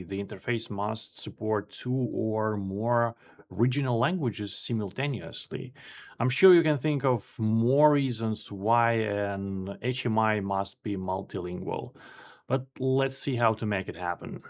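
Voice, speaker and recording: Ukrainian man explaining software calmly. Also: lowish voice, unhurried. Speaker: software tutorial presenter. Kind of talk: screen-recorded software tutorial.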